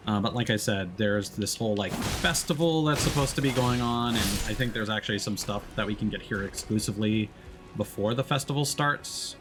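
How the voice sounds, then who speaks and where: A man's voice: fairly low pitch, fairly quick. A man giving casual live gameplay commentary as a streamer, with wry, playful asides as he narrates what he is doing. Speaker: video game streamer. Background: music behind the voice.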